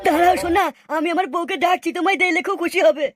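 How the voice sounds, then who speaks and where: A woman voicing an excitable, flattering village husband in a cartoon, speaking emphatically and repeating himself. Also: very high voice, very fast, over music. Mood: eager. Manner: emphatic, repetitive. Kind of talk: cartoon dialogue. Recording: noisy track with clicks.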